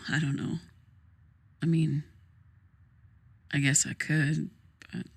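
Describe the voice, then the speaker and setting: An actress playing a troubled, grieving young woman in a naturalistic audio drama, speaking in a hesitant, halting, casual way. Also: low-pitched, level tone, breathy edge, full character voice. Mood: reluctant, awkward. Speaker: therapy client in audio drama.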